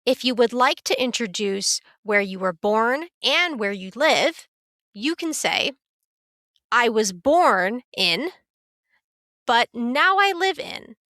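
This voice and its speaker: Slow, bright-voiced woman teaching English. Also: fairly high pitch, big pitch swings, no echo, clean studio sound. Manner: clear, careful, instructive delivery. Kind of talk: slow scripted beginner lesson.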